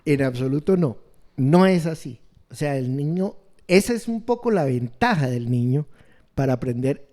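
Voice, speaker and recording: A man explaining ideas on education in an interview, halting and restarting often as he searches for words. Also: fairly high pitch, expressive, mellow. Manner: slow, halting, many restarts and fillers. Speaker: education expert guest.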